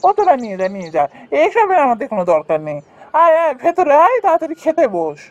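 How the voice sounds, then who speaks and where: A man voicing a haughty, well-off elder brother in a village cartoon, talking down in a loud, mocking way. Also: extremely high pitch, wildly swooping pitch, quick pace, no room sound, highs cut off, heavily acted. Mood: dismissive. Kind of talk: cartoon voice acting.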